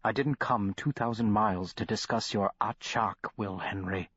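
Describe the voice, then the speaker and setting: A man's voice: medium pitch, moderate pace, dry recording, close to an everyday voice. Man voicing impatient imperious doctor, clipped, dry dull recording.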